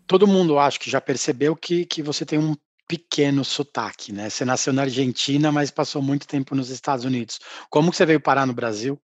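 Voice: mid-range voice, a little fast, few pauses, dry recording, dull audio. Interview host, talkative, leading questions, dry close mic. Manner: chatty, leading questions ending in tags. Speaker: podcast host.

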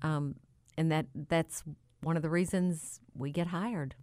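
A woman's voice: deep voice, steady tone, unhurried, mellow, no echo, studio quality. A woman co-hosting a radio show about auctions, speaking in an upbeat, businesslike way. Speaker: auctioneer co-host. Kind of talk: casual radio chat.

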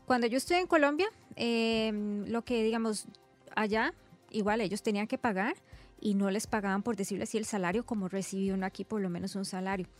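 A woman's voice: medium pitch, fairly quick, soft background music. A woman with a Costa Rican accent talks conversationally as a radio interview guest, explaining things and often checking back with small tags. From San José, Costa Rica. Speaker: interview guest, resident doctor.

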